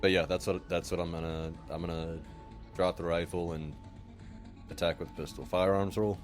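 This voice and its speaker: A man's voice: low voice, level tone, moderate pace, soft background music. A man playing a tabletop role-playing game, chatting casually about rules and his next move.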